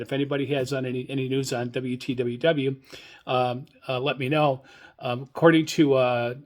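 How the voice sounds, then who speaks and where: An older man hosting a hobbyist podcast, giving informal solo news commentary in a hesitant, halting, rambling way. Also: mid-range voice. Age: older, 60s or above. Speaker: radio hobbyist podcast host.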